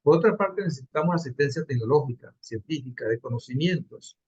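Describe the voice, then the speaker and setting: A man from Venezuela presenting a project in an online class, earnest and halting, with fillers. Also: a little high, animated, darkish tone, breathy edge, dry recording. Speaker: course participant presenting a project. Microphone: video call. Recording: dull dry video call audio.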